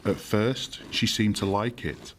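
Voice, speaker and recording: A man's voice: on the low side, slow pace, slight background noise. A man narrating a fairy tale as the storyteller in an audio drama. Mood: measured, storytelling.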